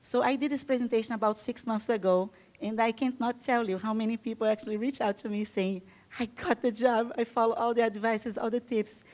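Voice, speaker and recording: A woman's voice: on the high side, steady tone, fairly quick, hardly any pauses, darkish tone, low-quality recording. A woman from Brazil whose first language is Portuguese, giving an enthusiastic, chatty conference talk to a live audience, with frequent fillers. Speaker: guest speaker. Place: event room with live audience.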